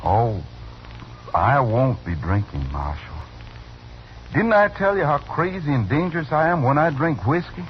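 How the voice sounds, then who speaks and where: Mid-pitched male, woebegone frontier drifter, folksy, childlike earnestness, old radio western, dull sound, faint engine hum. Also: sing-song, measured pace. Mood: earnest, confused. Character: simple, woebegone, earnest Old West buffalo skinner drifter.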